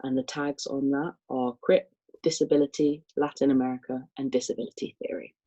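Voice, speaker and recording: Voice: medium pitch, little pitch movement, fairly quick, dark tone, dull audio. A panel chair casually introducing the next speaker and reading out talk titles with a few fillers.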